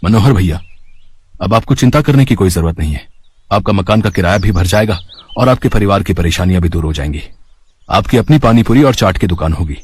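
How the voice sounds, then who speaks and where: A man's voice: deep voice, animated, brisk, darkish tone, breathy edge, pitched below the performer's own voice. A man voicing an earnest, solemn husband and father in a cartoon story. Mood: grateful, reassuring. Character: grateful, earnest well-off young husband and father.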